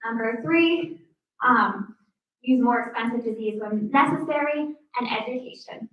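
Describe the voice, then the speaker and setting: A woman's voice: on the high side, measured pace, phone-quality sound. A young woman in her twenties, a medical student giving an upbeat prepared talk and counting off her points one by one. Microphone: no working mic, heard from afar. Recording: echoey hall from far off.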